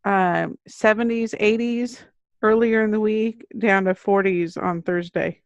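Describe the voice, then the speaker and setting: Female podcast guest, middle-aged, mid-pitched, casual anecdotal delivery, very dry recording. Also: fairly even intonation, measured pace, no room sound, dull audio. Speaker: children's book author, podcast guest. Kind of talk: casual interview chat, anecdotal storytelling.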